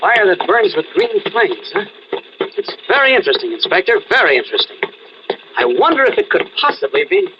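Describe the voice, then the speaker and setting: A man's voice: high voice, big pitch swings, a little fast, dull audio, background noise. A man playing an earnest, insistent newspaper reporter with an urgent manner, in old-time radio drama style. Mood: intrigued.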